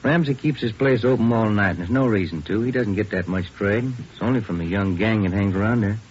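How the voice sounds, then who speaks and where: An American actor playing a steady detective partner in a 1950s radio drama, plain and matter-of-fact. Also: male voice, lowish voice, a little fast, close to an everyday voice. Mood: businesslike. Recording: dull old radio recording, background crowd noise.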